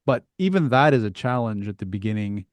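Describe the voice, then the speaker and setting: A man's voice: on the low side, sing-song, fairly quick, dry recording. A man hosting a conversational interview in a reflective way, often turning statements into questions. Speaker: podcast host.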